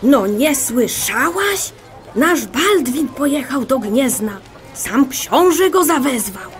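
Woman acting a peasant gossip, audio drama, excited, rustic, high-pitched, unhurried pace. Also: soft background music, heavily acted. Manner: excited, breathless with news, rustic.

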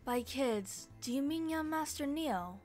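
A woman's voice: high-pitched, animated, measured pace, darkish tone, faint music behind. A woman voicing a polite, composed young maid in a comic fan dub, formal and deferential.

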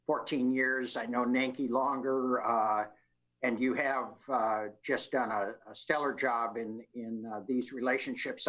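A man who is mayor, chairing a city council meeting over a video call, speaking in a measured way with small restarts. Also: medium pitch, fairly bright tone, poor audio. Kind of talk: formal meeting talk.

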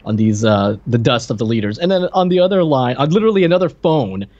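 A man's voice: mid-range voice, sing-song, quick pace, darkish tone, highs cut off. A man in his thirties co-hosting a podcast, casually recapping a film on a video call. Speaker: podcast co-host. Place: indoor room on a video call.

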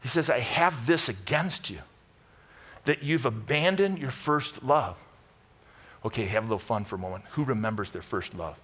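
A middle-aged American man preaching a conversational sermon, emphatic and animated, moving between loud bursts and hushed asides. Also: medium pitch, moderate pace, husky. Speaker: pastor preaching. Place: large church auditorium stage with audience.

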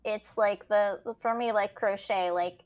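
A woman whose first language is Portuguese speaks as an interview guest at an unhurried pace. Her talk is casual and loose, full of fillers and quick laughs, and her voice sits in an ordinary middle register. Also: medium pitch, steady tone. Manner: chatty, laughs often, trails into fillers. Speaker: anthology editor, guest.

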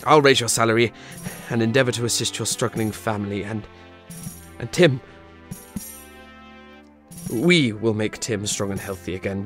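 An actor playing a giddy, jubilant old gentleman, a reformed miser, with an exclamatory, stammering manner in an audio drama. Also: mid-range voice, natural voice. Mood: warm, earnest. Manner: exclamatory, stammering with excitement, chuckling. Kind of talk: theatrical audio drama acting.

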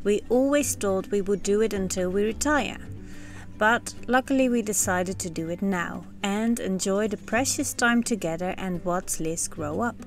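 A woman's voice: medium pitch, normal speed, faint music behind. Dutch-accented woman narrating a sailing vlog. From Netherlands. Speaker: sailing vlogger. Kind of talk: scripted voice-over narration. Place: on a sailboat cockpit under a canopy, outdoors.

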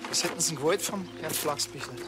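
An actor playing a dry, grumbling policeman with a Bavarian accent and deadpan delivery in a crime comedy. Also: a little high, quick pace, music in the background, lightly acted.

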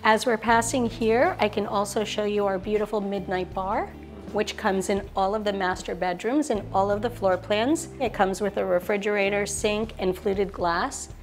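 A woman's voice: medium pitch, steady tone, moderate pace, soft background music. A middle-aged woman giving an enthusiastic, polished sales tour of a show apartment, listing features one after another. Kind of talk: polished real estate showcase tour. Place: show apartment kitchen, indoors.

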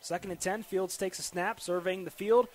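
An American man calling live football play-by-play on the radio with excitable, dramatic delivery. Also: high-pitched, moderate pace. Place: football stadium during a live game. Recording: noisy live stadium broadcast.

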